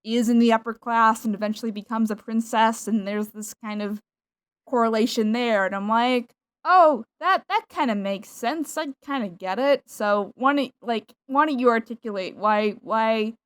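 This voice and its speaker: A woman's voice: a little high, normal speed, smooth. A young adult woman hosting a podcast, rambling and self-deprecating in casual chat, often laughing. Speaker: podcast host.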